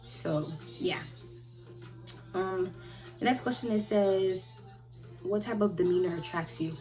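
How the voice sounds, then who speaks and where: A woman in her twenties answering viewer questions in a rambling, halting way, often restarting and trailing off. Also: medium pitch. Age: 20s. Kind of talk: casual chat. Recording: loud music playing behind her.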